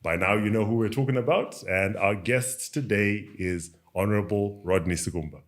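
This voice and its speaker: Male podcast host with a lowish, polished voice. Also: moderate pace, fairly bright tone. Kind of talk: podcast introduction and interview.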